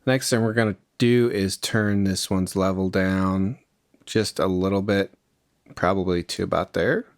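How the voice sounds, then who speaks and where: Low-voiced man giving a casual software tutorial. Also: fairly even intonation, unhurried, dry recording, clean studio sound. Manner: informal, conversational instruction. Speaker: music production tutorial presenter.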